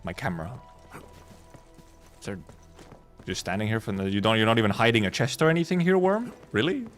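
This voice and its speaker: A man's voice: fairly low pitch, animated, fairly quick, soft background music. A man livestreaming a game, chatting casually in a rambling, blunt, sweary way. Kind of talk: casual gaming stream chat.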